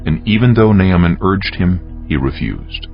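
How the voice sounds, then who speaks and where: Super deep gravelly guy narrating scripture, expressive, music under it. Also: moderate pace, muffled recording.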